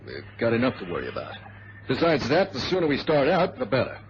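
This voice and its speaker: An actor playing a steady, cautious airline captain with a hesitant, practical way of talking in a radio drama. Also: male voice, medium pitch, fast. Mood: firm, weary. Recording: noisy muffled vintage radio drama recording.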